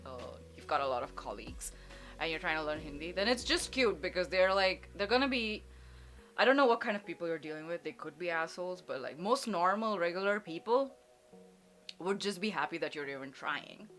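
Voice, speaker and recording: A young woman talks through listener advice in a loose, chatty way. Her voice sits a little low, and she pokes fun at herself and laughs often. Faint music plays quietly behind her. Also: expressive, fairly quick. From India. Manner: chatty, self-deprecating, laughs often, switches into Hindi and Japanese. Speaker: virtual streamer giving advice. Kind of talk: casual advice stream.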